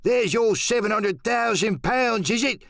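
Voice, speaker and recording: A man's voice: high voice, a little slow, gravelly, fairly bright tone, dry recording, clean studio sound, pitched above the performer's own voice, full character voice. A man voicing a furious, mocking pirate mutineer, roaring out taunts in an audiobook drama. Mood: furious, scornful.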